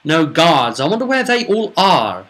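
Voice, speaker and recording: A man's voice: a little high, very animated, measured pace, a bit bright. A man chattily recapping a tabletop role-playing session as game master, telling it in a rambling, casual way.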